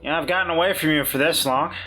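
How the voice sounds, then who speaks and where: A man's voice: on the high side, rapid-fire, bright, forceful tone, faint music behind, rough recording. A man playing a wry, stubborn character in a tabletop game, talking in a hesitant, conversational way. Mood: defiant.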